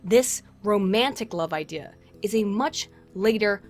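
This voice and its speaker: A woman's voice: mid-range voice, lively intonation, unhurried, darkish tone. A woman narrating a scripted video essay in formal, wordy academic phrasing with wry asides. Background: music.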